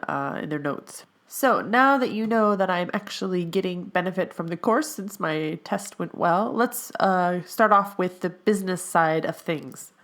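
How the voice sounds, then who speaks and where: A young woman hosts a solo podcast in a relaxed, chatty way, often stopping on "um" and "like." Her voice is a little nasal and stuffy from seasonal allergies, and her pitch sits in the middle. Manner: chatty, with frequent fillers. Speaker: podcast host, health coach in training. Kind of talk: casual solo podcast monologue.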